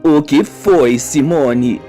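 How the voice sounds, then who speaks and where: A woman playing an inquisitive woman listener, measured and probing, in an amateur radio soap. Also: low voice, dark tone, soft background music. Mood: concerned. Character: Sônia, inquisitive woman listener pressing for the story.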